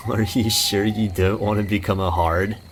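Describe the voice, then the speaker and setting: A man's voice: lowish voice, steady tone, takes it slowly, a little hiss or hum. A man playing a goofy, easygoing young friend in a visual novel game, talking playfully and casually. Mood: teasing, casual. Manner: playful, casual bro-speak.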